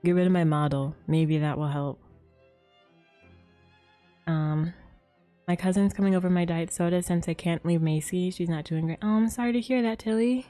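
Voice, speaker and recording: Mellow, low-voiced woman streamer. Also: fairly even intonation, moderate pace, long pauses, faint music behind. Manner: giggly, chatty with viewers. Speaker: game livestreamer. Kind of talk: casual livestream chat while gaming. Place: livestream with game music behind.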